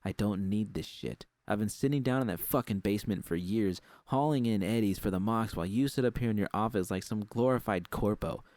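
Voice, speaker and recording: A man's voice: on the low side, fairly quick, few pauses, darkish tone, no echo, natural voice. A man voicing a jaded, defiant woman technician in an audiobook, with a blunt, combative manner. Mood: defiant anger. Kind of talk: audiobook character dialogue.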